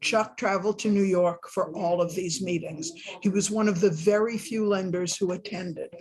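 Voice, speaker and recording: Steady woman giving measured remarks. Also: mid-range voice, low-quality recording. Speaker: tribute speaker. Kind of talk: prepared spoken remarks.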